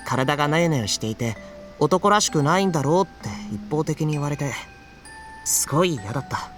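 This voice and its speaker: A principled, gentle schoolboy from a Japanese visual novel. His delivery is soft and sincere, rather high and very rapid, with lively melody. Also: faint music behind. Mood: quietly hurt.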